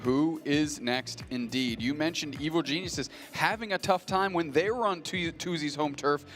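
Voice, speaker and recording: Co-caster, man, slightly high voice, excited rapid hype, faint music. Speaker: esports co-caster. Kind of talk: color commentary.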